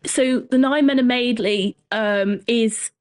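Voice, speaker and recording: A woman's voice: fairly high pitch, unhurried, dry recording. A woman with a Shropshire English accent, telling history conversationally as an interview guest. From Shropshire, England. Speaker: podcast guest, folklore and history writer.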